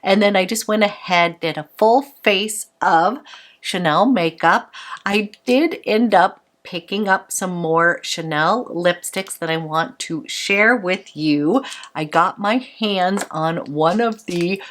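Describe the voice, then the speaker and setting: A woman beauty vlogger chatting brightly through a makeup tutorial, a little congested from a cold. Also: on the low side, slow pace. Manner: bubbly and chatty, fond of "a little".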